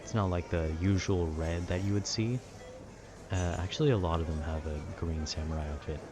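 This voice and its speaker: A man's voice: deep voice, fairly even intonation, normal speed. A young man rambling casually in a nerdy, slangy, meandering way, often trailing off and losing his thread. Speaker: audio content creator rambling to listeners. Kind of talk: casual rambling talk about video games. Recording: clear background noise, urban ambience.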